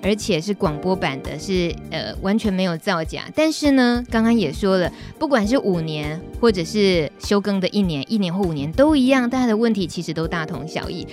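A woman's voice: medium pitch, lively intonation, fairly quick, few pauses. Taiwanese lady radio host, mid-range voice, some music under her. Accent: Taiwanese Mandarin. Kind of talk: live web radio hosting.

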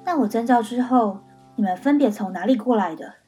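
A woman playing a cool, determined young student who questions people in a pointed, deliberate way in a mystery radio drama. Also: a little high, mellow, soft background music, natural voice. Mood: probing. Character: cool, determined young female student investigating her attack.

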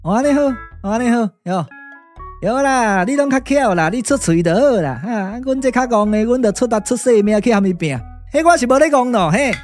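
A man's voice: extremely high pitch, animated, fairly quick, soft background music. A man with a Taiwanese accent voicing a cheeky, boastful and grumbling comic immortal magician in a puppet radio drama, folksy and exclamatory. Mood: aggrieved grumbling.

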